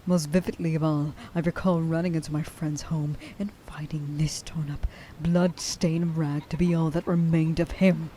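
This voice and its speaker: Woman playing a dark-voiced, menacing werewolf huntress. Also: deep voice, level tone, a little hiss or hum, lightly acted. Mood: bitter grief. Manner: menacing, contemptuous, deliberate, often dropping to a breathy whisper. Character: stern, vengeful female werewolf huntress, grieving a lost friend. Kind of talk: ASMR roleplay monologue, addressed to the listener.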